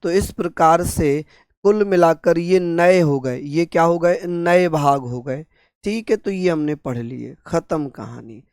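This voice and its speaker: A man with a sore, hoarse throat teaching an exam-prep class, repeating each term and answering his own questions. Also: a little high, unhurried, darkish tone, dry recording, studio quality. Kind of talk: online exam-prep lecture.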